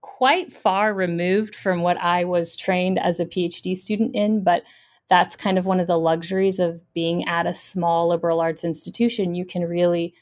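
Woman professor with a low voice. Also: flat delivery, normal speed. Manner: thoughtful, many fillers. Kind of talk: interview answer.

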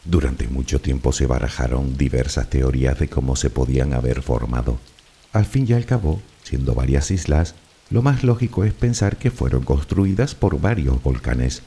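A man with a Canarian Spanish accent narrates a sleep relaxation in a very deep, mellow voice. His tone has a slightly breathy, husky edge. The delivery is soft and unhurried, meant to lull the listener. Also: expressive. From Canary Islands. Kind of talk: slow guided relaxation narration.

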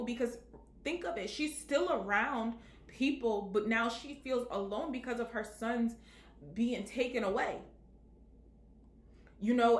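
Woman preaching in an echoey room. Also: on the high side. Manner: rhetorical questions with repeated "right?". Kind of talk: sermon on singleness.

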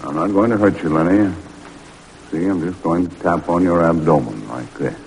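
An actor playing a calm, grave family doctor in an old-time radio drama, soothing and unhurried. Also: male voice, sits low, poor audio. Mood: gentle, grave. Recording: vintage radio recording with hiss.

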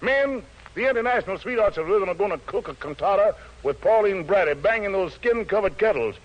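High-pitched American 1940s radio emcee, a man. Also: lively intonation, fairly quick. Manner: showy, playful, rhyming hepcat patter. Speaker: radio show host, master of ceremonies. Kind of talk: 1940s jive-talking radio emceeing for troops. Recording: dull vintage 1940s radio recording.

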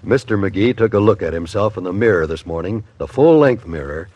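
A man's voice: on the low side, expressive, a little fast, darkish tone, dry recording. A man with an American accent announcing and narrating a scripted show in 1950s radio style. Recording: dull old radio broadcast recording. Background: music.

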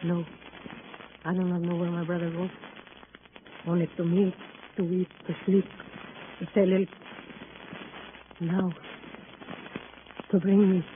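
Bitter sister. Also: female voice, fairly low pitch. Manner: theatrical, stilted, dramatic. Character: bitter, scornful sister of a young boxer. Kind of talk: 1950s radio drama acting. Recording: muffled noisy old radio recording.

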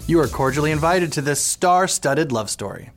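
A man's voice: on the high side, expressive, normal speed. A man co-hosting a podcast, narrating celebrity gossip with an arch, playful, campy manner. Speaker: podcast co-host, celebrity writer. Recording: music bed under the voice.

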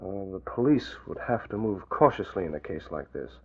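A composed, shrewd man in charge from a 1940s radio serial. He is measured and probing, with a rough-edged voice that moves widely in pitch. The recording is tinny and narrow, like an old broadcast. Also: lively intonation. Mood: thoughtful, decisive. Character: composed, shrewd male newspaper boss, secret crime fighter.

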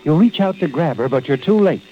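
A man's voice: a little high, animated, a little fast, soft background music, lightly acted. An actor as an ominous, knowing narrator of an old-time radio mystery, insinuating and taunting as he addresses the listener. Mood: sinister, knowing. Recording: dull old radio recording.

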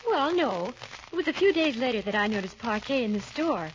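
An actress playing a chatty, cheerful housewife in a scripted 1940s radio commercial. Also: female voice, on the high side, sing-song, brisk. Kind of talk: 1940s radio advertising acting. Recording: muffled vintage radio, faint hiss.

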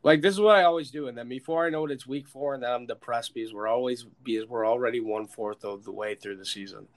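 An American man hosting a casual, sweary sports chat, opinionated and emphatic, with a stuffed-up, slightly hoarse voice. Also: mid-range voice, fast. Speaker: sports podcast host. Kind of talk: casual, profane football chat.

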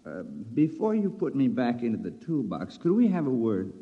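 An actor playing a lifelike robot double, hesitant yet insistent, sensitive and quietly menacing, in classic radio drama style. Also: male voice, mid-range voice, huge pitch swings, moderate pace, husky, full character voice. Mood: aggrieved. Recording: dull old radio recording, long reverb.

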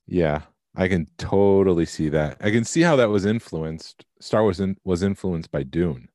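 A man co-hosting a podcast, chatting casually and thinking out loud about films. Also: deep voice, animated, moderate pace, no room sound, clean studio sound. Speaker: podcast co-host.

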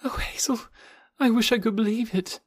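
A grief-stricken young American woman, played by a male narrator, pitched high and swooping. The delivery is tender and dramatic, with plaintive, breathy cries, as in an audiobook of an old adventure novel. The sound is close and dry. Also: expressive, moderate pace, no echo, heavily acted. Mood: pleading disbelief. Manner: plaintive, breathy exclamations, anguished.

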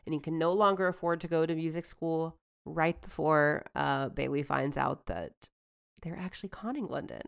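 A woman co-hosting a podcast in casual, animated chat. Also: sits low, moderate pace, creaky, smooth. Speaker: podcast co-host.

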